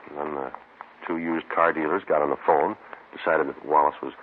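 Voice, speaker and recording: An actor playing a terse, matter-of-fact police detective sergeant in a mid-century radio drama. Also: male voice, very deep voice, steady tone, brisk. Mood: businesslike. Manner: clipped, matter-of-fact, understated. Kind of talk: 1950s radio drama acting, narration and dialogue. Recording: muffled, noisy old-time radio recording.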